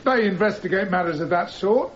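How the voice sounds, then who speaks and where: Guarded, lively-pitched police commander. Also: male voice, fairly quick. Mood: defensive. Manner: formal, terse, defensive. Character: firm, guarded police commander under cross-examination. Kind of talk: radio courtroom drama acting. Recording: dull radio recording with clear noise.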